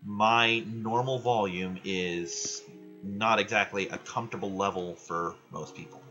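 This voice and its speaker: A young man ranting loudly and swearing in casual chat while he plays a video game. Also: fairly low pitch, steady tone, unhurried, highs cut off, music in the background. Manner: loud, ranting, frequent swearing. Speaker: gaming vlogger.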